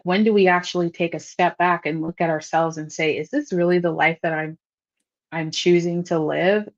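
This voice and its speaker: A woman's voice: on the low side, lo-fi sound. A woman hosting an online talk, speaking in an earnest, encouraging way with casual fillers. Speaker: host.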